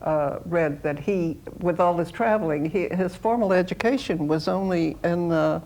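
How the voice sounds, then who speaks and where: A woman hosting a casual discussion in a halting, conversational way. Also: fairly low pitch, a little mellow, a little hiss or hum, poor audio. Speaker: panel host.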